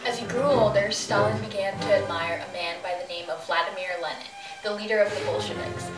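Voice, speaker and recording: A woman's voice: medium pitch, close to an everyday voice. A woman playing an earnest, theatrical TV news magazine anchor, reading scripted narration and questions in a formal announcer manner. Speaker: mock news host and interviewer. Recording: echoey room, distant mic, loud music.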